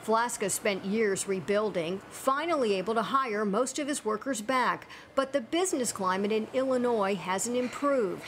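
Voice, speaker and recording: A woman hosting a news program, narrating a report and then interviewing studio guests in an even, measured way. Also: fairly high pitch, slight background noise. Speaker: news show host.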